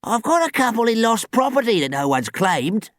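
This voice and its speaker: Male narrator as elderly park superintendent, wheezy, slow on the uptake, genial, raised pitch, storybook. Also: sing-song, no room sound, studio quality.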